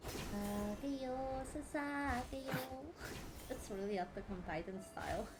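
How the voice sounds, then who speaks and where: A woman giving casual let's play commentary, in a halting, giggly way with repeated words. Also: medium pitch, lively intonation, over music. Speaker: gaming streamer.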